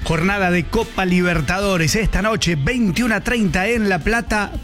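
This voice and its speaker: A man's voice: high voice, measured pace, fairly bright tone, faint music behind. A man with an Argentine accent delivering a radio sports bulletin. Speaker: sports reporter.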